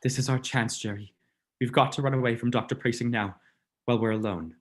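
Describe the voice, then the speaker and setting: A student actor playing an earnest, protective, suspicious gentleman in a melodramatic vintage radio mystery. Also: medium pitch, steady tone, brisk, close to an everyday voice. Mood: tense, wary.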